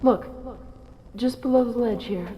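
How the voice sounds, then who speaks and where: A woman acting an anxious, sharp-witted mother on a tomb expedition in an audio drama. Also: fairly high pitch, unhurried, close to an everyday voice. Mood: tense, wary. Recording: muffled, hissy cavernous hall, loud music.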